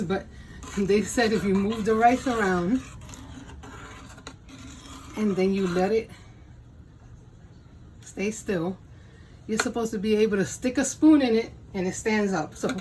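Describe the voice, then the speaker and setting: A woman's voice: mid-range voice, a little slow, pauses often, darkish tone, a little hiss or hum, poor audio. A woman in her fifties with a Puerto Rican accent hosts a casual home cooking vlog, chatty and self-deprecating, laughing often as she cooks in her kitchen. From Puerto Rico. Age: 50s. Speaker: cooking channel host.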